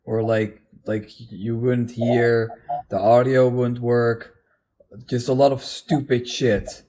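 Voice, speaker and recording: A man's voice: mid-range voice, level tone, slow, dark tone. A man in a casual unscripted online chat, speaking hesitantly and repeating his words as he goes. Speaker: video co-host.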